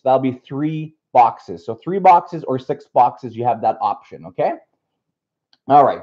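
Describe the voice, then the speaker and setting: A man from Canada hosting a live card break from a table indoors, upbeat and slightly raspy from being unwell. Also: high-pitched, lo-fi sound. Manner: upbeat, reads off a list of names. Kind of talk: casual live stream chat.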